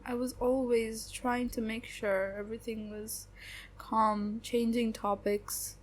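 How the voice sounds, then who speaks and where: A woman's voice: a little high, a little mellow. A woman playing a weary, hurt young best friend. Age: young adult. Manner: halting, subdued, with long pauses. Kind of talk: audio roleplay acting.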